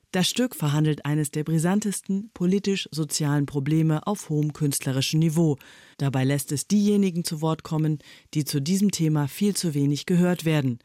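A man formally reading out an award citation in a measured, prepared style. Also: a little high, darkish tone, dry recording, studio quality.

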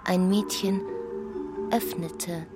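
A woman narrating a literary radio play with deliberate, pausing phrasing. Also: fairly low pitch, fairly even intonation, over loud music.

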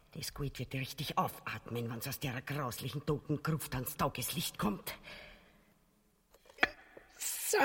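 A woman playing a tyrant's greedy, scheming, jittery wife with a husky, breathy Austrian voice, muttering and exclaiming to herself in a comic radio play. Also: deep voice, exaggerated voice. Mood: nervous, furtive. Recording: long echoing vault reverb.

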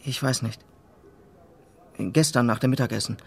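A nervous teen cadet, evasive and polite, middle pitch, hesitant, radio drama. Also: male voice, steady tone, moderate pace, gravelly, slightly rough. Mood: guarded. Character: evasive, uneasy teenage male military cadet. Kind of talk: German radio drama acting.

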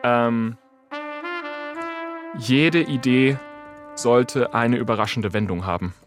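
A man's voice: mid-range voice, slow, over music. A man in casual explanatory talk, thinking aloud as he works. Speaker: AI expert guest. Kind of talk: casual explanatory talk while typing prompts.

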